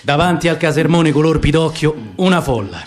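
Animated narrator, high voice. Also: male voice, fairly quick. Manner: theatrical, lively, savoring the words. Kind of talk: dramatic reading of dialect poetry and a novel.

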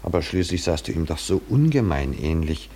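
Swooping, deep-voiced condescending admirer. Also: male voice, very animated, a little fast, warm tone, heavily acted. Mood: rapt, patronising. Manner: familiar, patronising, theatrical. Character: condescending, eccentric male admirer of a legendary hero. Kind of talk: 1950s German radio drama acting.

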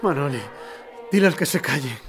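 A man's voice: fairly high pitch, expressive, moderate pace, close to an everyday voice. A man playing an irritable, contemptuous governor in a radio drama. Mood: furious. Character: irritable, domineering besieged governor, contemptuous boss. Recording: clearly noisy, crowd din behind.

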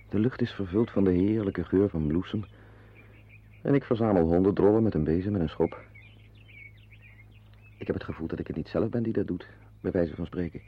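A man's voice: deep voice, slightly rough, full character voice. An actor playing a wry, brooding henpecked husband in a Dutch radio play, confiding his musings to the listener. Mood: wry, weary. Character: wry, brooding henpecked husband, animal-loving former artist. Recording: muffled, band-limited old radio play recording.

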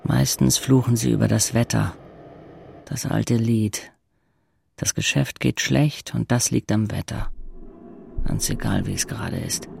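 A man's voice: medium pitch, fairly even intonation, measured pace, creaky, long pauses, darkish tone, slight background noise. An actor playing a sardonic fourteen-year-old paralysed boy who narrates a radio play in a dry, laconic way. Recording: music behind the voice.

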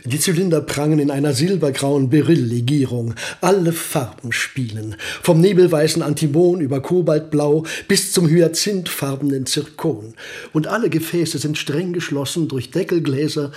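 A man's voice: fairly high pitch, normal speed, darkish tone, close to an everyday voice. A man acting in a German radio play as an aging, courtly privy councillor with ornate, gallant phrasing, French flourishes and a macabre sentimentality. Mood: proudly showing off. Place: studio radio production.

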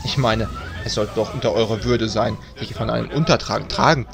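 Male reader for audio drama outtakes, mid-pitched and gravelly, clear music underneath. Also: a little fast.

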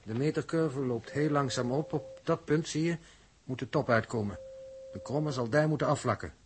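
An actor playing a calm, methodical spaceship navigator in a 1960s radio drama, precise and matter-of-fact. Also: male voice, mid-range voice, moderate pace, a little hiss or hum, close to an everyday voice. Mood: calm, factual.

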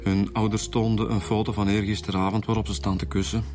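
An actor playing a brash, probing police inspector in a radio drama, with colloquial, pointed Flemish speech. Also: male voice, lowish voice, flat delivery, fast, music in the background, close to an everyday voice. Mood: informative. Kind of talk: naturalistic radio drama dialogue.

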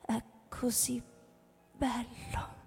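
An actress playing a tender mother coaxing her grown son in a radio drama, soft and sometimes breathy. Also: on the high side, completely flat delivery, drawn-out words, soft background music, heavily acted. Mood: tender, soothing.

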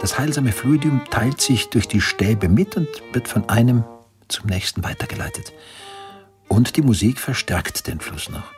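An actor playing a fervent, pompous eighteenth-century doctor in a German radio drama, lecturing in a grandiose manner. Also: male voice, mid-range voice, animated, normal speed, lightly acted. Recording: music behind the voice. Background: instrumental music.

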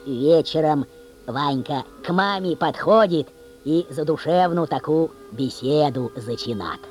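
A woman playing a folksy fairy-tale storyteller, narrating theatrically in an expressive, singsong rustic manner. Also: sits low, unhurried. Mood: folksy storytelling. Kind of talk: theatrical folk-dialect storytelling. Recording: music behind the voice.

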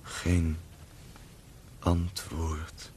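An actor playing a worn-out dying man who prays slowly and resignedly for mercy in a radio play. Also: deep voice, monotone, a little mellow, slight background noise. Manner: slow, resigned, devout. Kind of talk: solemn prayer in verse in a radio play. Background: music.

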